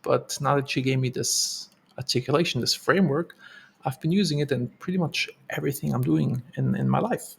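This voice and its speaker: A man's voice: medium pitch, a little fast, gravelly, a little hiss or hum. A man with a German accent, co-hosting a podcast and telling his personal story in an earnest, reflective way. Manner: earnest, reflective, with fillers and repeats. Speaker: podcast co-host. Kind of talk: casual storytelling.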